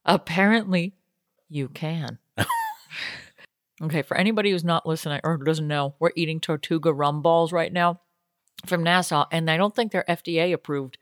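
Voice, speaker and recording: A woman's voice: deep voice, normal speed, dry recording, studio quality. A young woman co-hosting a podcast from a basement lounge, chatty and joking, laughing often. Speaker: podcast co-host. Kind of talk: casual chat. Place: home basement tiki lounge.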